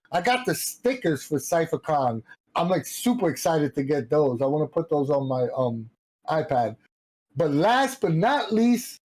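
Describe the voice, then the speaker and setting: A man's voice: high voice, darkish tone, low-quality recording. Informal, slangy man plugging things. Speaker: host of a gaming community stream. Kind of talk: casual chat with promotional plugs.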